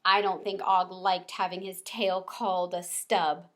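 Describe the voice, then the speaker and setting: A woman's voice: on the low side, flat delivery, measured pace. A woman narrating a children's book as a read-aloud storyteller.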